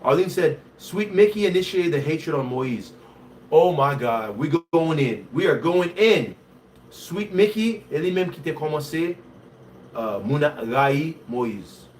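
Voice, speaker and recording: A man from Haiti with a Haitian accent, hosting a casual livestream, talking in an animated way and reading viewer comments. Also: on the high side, far from the mic, a little hiss or hum. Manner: switches between English and Haitian Creole, animated and conversational.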